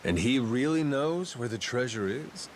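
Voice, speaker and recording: A man's voice: medium pitch, animated, a little slow, slight background noise, lightly acted. A man voicing a wry, informal male boat captain and adventurer in a video game dub.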